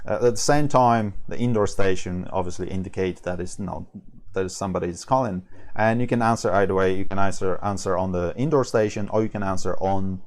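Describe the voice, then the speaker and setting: A man with a low voice talks through a slide presentation as a product trainer. English is not his first language. His delivery is informal and halting, and he often repeats words and rewords what he has said. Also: darkish tone. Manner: halting, repeats and rephrases himself.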